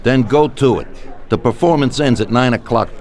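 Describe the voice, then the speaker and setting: A man playing a ruthless crime boss in a video game. He speaks at a medium pitch, deliberately and with full authority, as the one who hands out orders. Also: darkish tone, lightly acted. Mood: commanding. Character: commanding, ruthless mafia boss sending out his killers. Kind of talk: dramatic video game dialogue.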